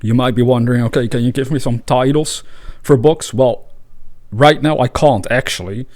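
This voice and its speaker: A man with a Dutch accent, a native Dutch speaker, hosting a spiritual podcast in a calm, reflective monologue with pauses and fillers. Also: mid-range voice. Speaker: podcast host.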